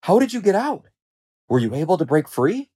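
Helpful storybook lions, voiced by one male narrator. They sound eager and concerned, pitched high with lively swings. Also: normal speed, slightly rough, full character voice. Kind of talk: storybook character voice.

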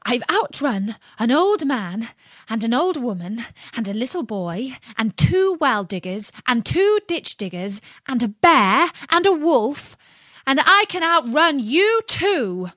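A woman voicing a small, cheeky runaway gingerbread man in a storybook, loudly boasting in a sing-song recitation. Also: very animated, unhurried, dry recording. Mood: boastful, defiant. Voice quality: loud, high, shouted.

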